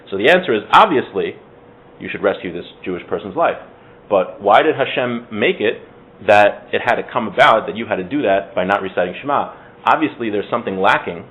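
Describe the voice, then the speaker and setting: A man's voice: mid-range voice, narrow, tinny audio. A man teaching an informal religious class, making his points with emphatic repetition and rhetorical questions to his students.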